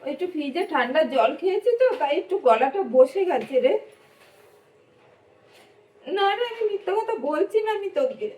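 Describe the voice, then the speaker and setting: A woman's voice: sits high, sing-song, normal speed, pauses often, darkish tone. A woman playing a meek, hurt young wife in a domestic drama, confiding plaintively. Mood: covering up hurt. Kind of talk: amateur domestic drama acting. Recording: echoey room, distant mic, faint noise.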